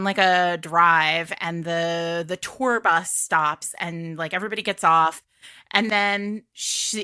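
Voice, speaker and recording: A woman hosting a casual book chat, telling stories in an animated way with playful asides. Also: fairly low pitch, smooth, dry recording, studio quality. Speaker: podcast host.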